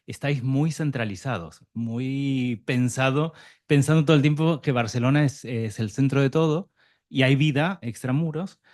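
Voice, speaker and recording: A man speaking Spanish with a Buenos Aires accent, pausing often and circling back as he thinks aloud. His voice sits at an ordinary male pitch. He closes a round table in a meeting room before a live and online audience. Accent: Argentine, Buenos Aires. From Argentina, Buenos Aires. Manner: hesitant, many fillers and restarts, reflective. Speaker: representative of mediator psychologists. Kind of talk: closing remarks at a round table.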